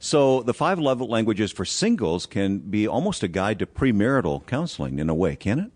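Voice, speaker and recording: A man with a very deep voice conducts an interview. He talks conversationally and frames his questions so that they invite the guest to agree and expand. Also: very animated, normal speed, a little mellow. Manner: conversational, asks leading questions.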